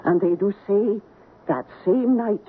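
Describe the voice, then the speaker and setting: A woman's voice: mid-range voice, a little slow, lightly acted. An actress plays a Scottish village housekeeper, a superstitious woman full of fear. She speaks in rustic dialect with a solemn, foreboding manner. It is 1970s radio drama, thin and tinny with faint hiss. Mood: ominous warning. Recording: tinny, noisy 1970s radio broadcast recording.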